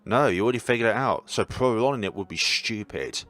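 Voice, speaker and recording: A man's voice: fairly low pitch, animated, fairly quick, a bit bright. A man voicing a sickly, guarded, snarky teenage boy in a visual novel, speaking in a muted, reluctant way. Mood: resigned.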